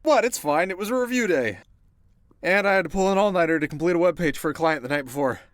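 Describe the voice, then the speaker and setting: A man's voice: a little high, fast talker, dry recording, voice pushed up, natural voice. Man playing a cocky, eager teenage boy. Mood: proud, candid. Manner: casual, animated, earnest.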